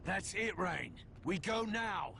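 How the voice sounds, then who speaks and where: An actor playing a gruff, sarcastic male soldier with a British accent in a video game cutscene. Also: sits high, lively intonation, slow pace, noisy background, full character voice. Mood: urgent. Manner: blunt, sardonic. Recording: game audio with music behind.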